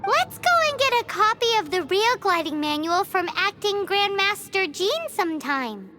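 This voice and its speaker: A woman voicing a tiny, excitable, cheeky fairy girl companion in a video game, with a squeaky childlike voice. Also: normal speed, heavy background noise. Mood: puzzled. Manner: speaks of herself in third person, exclamatory. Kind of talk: animated video game voice acting.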